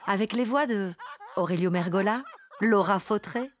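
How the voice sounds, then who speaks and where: A woman's voice: medium pitch, moderate pace. A woman announcing the credits of a radio fiction in a scripted read.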